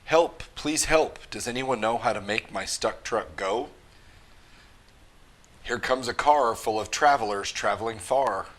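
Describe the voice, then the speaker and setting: A man's voice: medium pitch, a little slow, fairly bright tone. A man reading a rhyming picture book aloud to children with an emphatic, rhythmic delivery. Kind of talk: animated children's story read-aloud.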